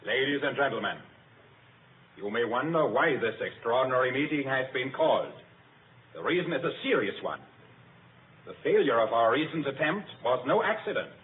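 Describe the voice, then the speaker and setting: An actor playing the cold, commanding leader of a masked secret society in an old radio drama, speaking in a formal, measured, authoritative way. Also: male voice, mid-range voice, big pitch swings, a little hiss or hum, close to an everyday voice. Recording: tinny, band-limited old radio recording.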